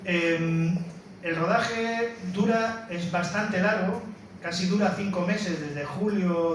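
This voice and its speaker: Film presenter, male, slightly high voice, explanatory and emphatic. Heavy room echo, faint noise. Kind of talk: informal spoken lecture introducing a film. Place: indoor room, presenting to an audience. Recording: very echoey room, distant mic, faint noise.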